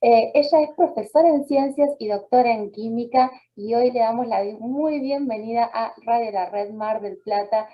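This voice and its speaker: High-voiced Argentine radio host, muffled. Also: female voice, moderate pace, few pauses. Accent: Argentine Spanish. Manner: laughs often, uses voseo. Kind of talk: friendly radio interview.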